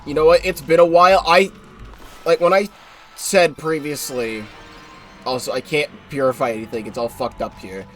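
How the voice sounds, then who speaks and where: A man's voice: fairly high pitch, steady tone, moderate pace, fairly bright tone, soft background music. A congested young man giving rambling, casual commentary while streaming a game live, often correcting himself and swearing offhand.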